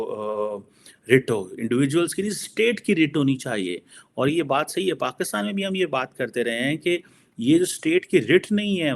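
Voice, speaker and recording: A man with a Pakistani accent explains his opinions as a political analyst in informal commentary, mixing in English phrases. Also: medium pitch.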